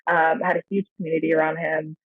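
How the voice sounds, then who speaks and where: A woman's voice: deep voice, little pitch movement, fast, husky, no echo. An American woman from the San Francisco Bay Area, a podcast guest, talking in a reflective, rambling way with lots of fillers. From San Francisco Bay Area, USA. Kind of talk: casual interview chat. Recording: tinny phone-like dry line.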